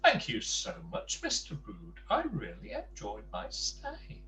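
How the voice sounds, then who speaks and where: A man's voice: on the high side, measured pace. A man voicing a cheerful, unflappable and very polite storybook character. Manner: polite, calm. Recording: distant mic with faint background noise.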